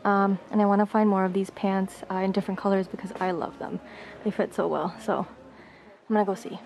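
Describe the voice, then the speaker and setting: A woman's voice: mid-range voice, fairly even intonation, a little fast, gravelly, a little mellow, a little hiss or hum. A young woman vlogging casually, chatting upbeat with lots of fillers, filmed on a handheld camera. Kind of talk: casual vlog chat. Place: shopping mall and home living room. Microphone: handheld camera close up.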